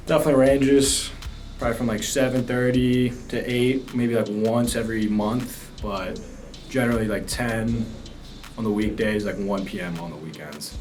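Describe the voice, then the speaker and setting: A twenty-one-year-old man, a student, answering in a laid-back way full of "like"s, often trailing off quietly. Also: on the low side, fairly even intonation, moderate pace, soft background music. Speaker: student interviewee. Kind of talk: casual street interview.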